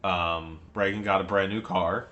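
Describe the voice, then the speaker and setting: A man's voice: sits low, fairly even intonation, a little slow, bright, forceful tone, highs cut off. A man co-hosting a podcast in casual chat, telling stories in a chatty way with frequent laughs and casual swearing. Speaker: podcast co-host.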